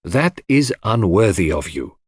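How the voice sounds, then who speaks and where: A man voicing a shrewd, solemn foreign detective in an audiobook, speaking in a measured, emphatic and enigmatic manner. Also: on the low side, very animated, slightly rough, no echo, clean studio sound, exaggerated voice. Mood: grave.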